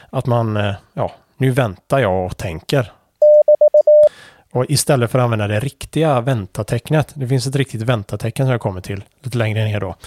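Man, casual tutorial, hesitant, fillers, mid pitch, dry recording. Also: no room sound. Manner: relaxed, hesitant with frequent fillers and restarts. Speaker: amateur radio instructor.

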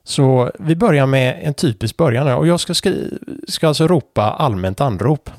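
A man, explaining amateur radio Morse code procedure in an unhurried way, with many fillers. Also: medium pitch, animated, a little mellow, no echo, clean studio sound. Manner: unhurried, many fillers, spells out letters and call signs.

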